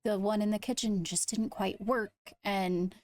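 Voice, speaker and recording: A woman speaking in improvised roleplay, leading a meeting in a measured, deliberative way. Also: mid-range voice, steady tone, darkish tone. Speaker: role-player leading a court meeting. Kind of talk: improvised in-character roleplay talk.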